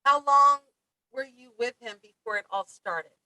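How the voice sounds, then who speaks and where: A woman's voice: sits high, measured pace, sharp tone, dry recording, poor audio. A woman hosting a podcast, asking brief, prompting questions of her guest. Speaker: podcast host. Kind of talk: interview questions.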